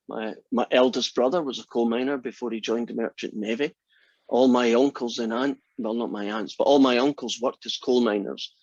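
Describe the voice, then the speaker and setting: A man's voice: medium pitch, dry recording. An older Scottish man in his sixties, a math instructor opening his class in a joking, teasing way. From Scotland. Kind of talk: class introduction. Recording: slightly dull lo-fi sound.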